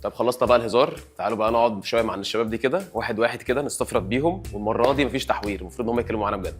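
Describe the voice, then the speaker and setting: A man's voice: medium pitch, rapid-fire, soft background music. A man with an Egyptian accent bantering and asking casual questions as a panelist on a guessing-game show. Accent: Egyptian Arabic.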